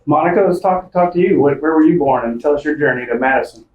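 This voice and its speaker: A man's voice: a little high, fast, darkish tone, muffled recording. A man hosting a casual interview podcast, chatty and folksy with many fillers and restarts. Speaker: podcast host and small-town mayor. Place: office conference room. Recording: roomy, distant mic with faint noise.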